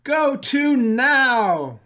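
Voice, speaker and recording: A woman's voice: sits high, very animated, very slow, a bit bright, voice pushed up, full character voice. A woman voicing a pompous, hearty male knight in a storybook, declaiming in archaic style. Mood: indignant.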